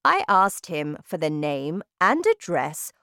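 A calm old storybook grandmother, played by a woman, sly and reassuring. She speaks slowly and softly in a lowered, slightly creaky voice, with a knowing manner. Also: no room sound, clean studio sound, heavily acted. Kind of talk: children's storybook character voice.

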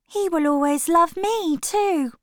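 A woman's voice: very high-pitched, slow, fairly bright tone, no echo, studio quality, voice pushed up, exaggerated voice. A woman reading the part of a starry-eyed young actress in love in a storybook. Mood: dreamy.

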